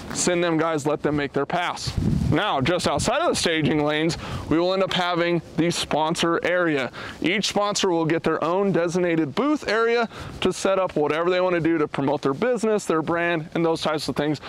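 Energetic man vlogging outdoors at a track. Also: on the high side, slight background noise. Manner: energetic, animated, talks with his hands. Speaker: automotive vlogger, event organizer. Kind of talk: casual promotional walk-and-talk vlog. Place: outdoors at an open, windy race track facility. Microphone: camera held at arm's length.

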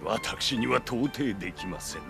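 Slow, gravelly, solemn samurai lord. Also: male voice, fairly low pitch, expressive, over music. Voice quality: formal archaic speech, gravelly. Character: solemn, dutiful samurai lord, measured and humble. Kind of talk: samurai video game voice acting.

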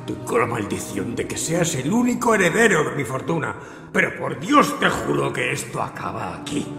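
Furious old uncle, heavily echoing. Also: male voice, mid-range voice, big pitch swings, normal speed, creaky. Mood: outraged. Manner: indignant, declamatory. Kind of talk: audio drama acting. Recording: long hall echo, music behind.

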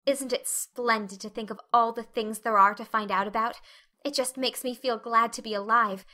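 A woman's voice: a little high, steady tone, normal speed, pushed and bright. A woman voicing a talkative, dreamy, dramatic orphan girl with an earnest, effusive manner in an audiobook. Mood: eager, happy.

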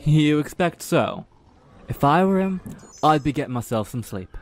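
A man's voice: fairly high pitch, animated, normal speed. A man playing a cheeky, easygoing young knight lieutenant in a fantasy audio drama, casual and teasing. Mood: casual, wry.

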